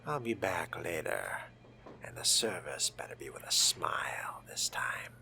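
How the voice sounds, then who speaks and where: A man's voice: low-pitched, animated, measured pace, gravelly, pushed and bright, in a whisper, exaggerated voice. A man voicing a sardonic, disdainful fledgling vampire in a video game roleplay, musing in dry, formal wording. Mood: wry, musing.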